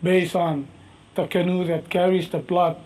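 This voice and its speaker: A middle-aged man from Micronesia, whose first language is Micronesian, answering interview questions slowly and thoughtfully, with many pauses and fillers. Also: high-pitched, fairly even intonation, darkish tone. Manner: slow, thoughtful, halting speech with many fillers. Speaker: master navigator and canoe captain.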